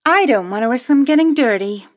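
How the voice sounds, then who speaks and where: A woman voicing an excited, pert young female tourist with a gushing, romantic streak in an audiobook. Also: medium pitch, normal speed, slightly rough. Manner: pert, effusive. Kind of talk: audiobook character dialogue.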